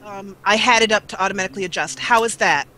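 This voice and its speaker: A woman's voice: medium pitch, fast talker, bright, forceful tone. A woman chatting casually as a guest on a livestream. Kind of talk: casual chat.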